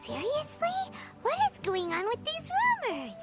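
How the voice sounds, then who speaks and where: A woman voicing a small, excitable childlike fairy companion with a squeaky, expressive voice in a video game. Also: normal speed, loud music behind the voice, full character voice. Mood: incredulous. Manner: exclamatory, expressive. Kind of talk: video game character dialogue.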